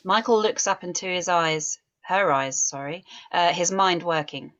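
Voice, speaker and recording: A woman narrating stage directions in a script table read, measured and descriptive. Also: fairly low pitch, fairly bright tone, dull audio.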